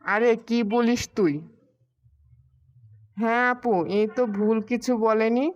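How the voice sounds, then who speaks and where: A woman voicing a greedy, quarrelsome man-eating witch in an animated fairy tale. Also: on the high side, takes it slowly, highs cut off. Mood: angry, quarrelsome. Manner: menacing, quarrelsome.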